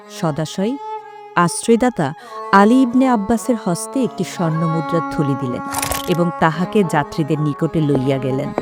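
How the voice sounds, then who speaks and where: A woman narrating an audio drama from a script in formal, measured literary language. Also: on the low side, darkish tone. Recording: music behind the voice.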